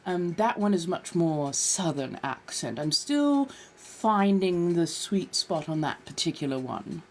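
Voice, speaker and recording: A woman with a lowish, very animated voice hosts a casual livestream. She puts on a posh colonial American accent and speaks in affected, genteel phrases, addressing her listeners fondly as dears. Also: a little slow, slight background noise. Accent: put-on posh colonial American. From California, United States. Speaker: livestream host chatting with viewers.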